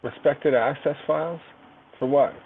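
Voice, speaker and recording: A man's voice: a little high, a little slow, husky. Guy answering a repair shop phone, polite but puzzled, tinny call audio. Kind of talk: customer service phone call. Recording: tinny phone line with light noise.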